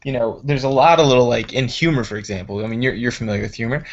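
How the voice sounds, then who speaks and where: A man co-hosting a casual podcast, halting and jokey as he searches for his words. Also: mid-range voice, steady tone, highs cut off. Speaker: podcast co-host. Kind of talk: casual chat.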